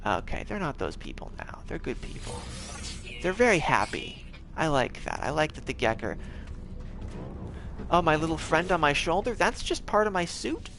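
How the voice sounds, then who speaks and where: A man's voice: fairly high pitch, very animated, moderate pace, gravelly, background noise. A man casually commenting on his game for a live stream, rambling and tripping over his words as he plays at home. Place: home, gaming on a TV.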